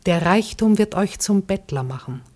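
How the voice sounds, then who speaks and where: A woman's voice: medium pitch, lively intonation, moderate pace, darkish tone, lightly acted. A woman playing Poverty as a solemn, ominous spirit in a radio play, declaiming like a prophetess. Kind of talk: German radio play acting of classical drama.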